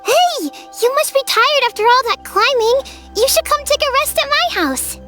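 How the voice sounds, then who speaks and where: A woman's voice: very high-pitched, lively intonation, normal speed, sharp tone, soft background music, exaggerated voice. A woman voicing a small, friendly, earnest village child in a video game, chatty and open. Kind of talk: video game character acting.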